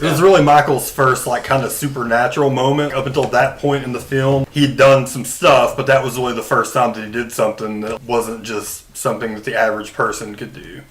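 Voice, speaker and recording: A man's voice: mid-range voice, flat delivery, fairly quick, hardly any pauses, fairly bright tone. A man co-hosting a podcast, explaining things in a casual, conversational way. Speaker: podcast co-host.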